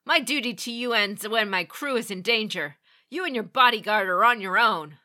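A woman voicing a gruff male ship captain who barks orders with disdain. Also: medium pitch, expressive, moderate pace, bright, forceful tone, pitched above the performer's own voice. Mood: scornful.

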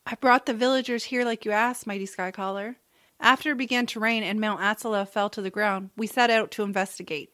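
Actress as noble tribe leader lady, formal n reverent, medium pitch, dry recording. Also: steady tone, fairly quick, a bit bright, no echo, lightly acted. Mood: solemn. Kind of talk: audiobook drama character acting.

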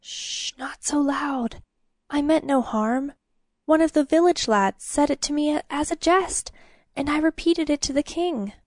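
A woman's voice: a little high, a little slow, dry recording, close to an everyday voice. Storybook knight, voiced by a woman: young, sincere, nervous, urgently entreating, dry close sound. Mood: hushed, anxious. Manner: pleading, urgent. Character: earnest, anxious young knight, pleading and stammering.

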